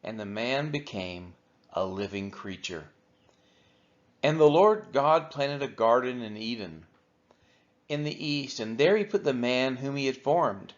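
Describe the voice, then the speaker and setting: A man reading a Bible chapter aloud for a church, measured and falling at the end of each phrase. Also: mid-range voice, expressive. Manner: measured, falls at phrase ends. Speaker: church scripture reader. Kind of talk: steady scripture reading. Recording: dull lo-fi audio.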